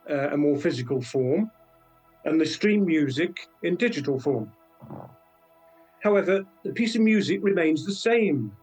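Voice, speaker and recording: An older man with a British accent giving thoughtful, hesitant explanations as an interview guest. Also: fairly high pitch. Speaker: interview guest, science fiction author.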